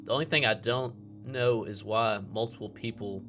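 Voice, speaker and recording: A man's voice: fairly low pitch, steady tone, normal speed. A man chatting casually with friends as a player in a tabletop game. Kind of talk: casual chat among friends. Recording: music playing behind the voice. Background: music.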